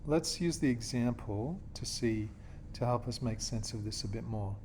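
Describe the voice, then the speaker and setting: A man's voice: medium pitch, moderate pace, darkish tone, slight background noise. A man from Australia teaching a geometry class, explaining step by step and checking in with his students often. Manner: patient, checks in with "okay?" and "yeah?".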